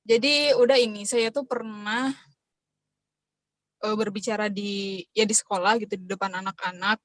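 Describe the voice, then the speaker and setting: A woman's voice: mid-range voice, steady tone, moderate pace, dry recording. A young woman from West Java, Indonesia, asking a question hesitantly over a video call, with many fillers.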